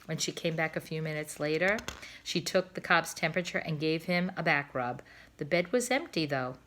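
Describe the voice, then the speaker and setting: A woman reading a children's book aloud in an expressive, emphatic way. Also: deep voice, normal speed. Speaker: storybook reader.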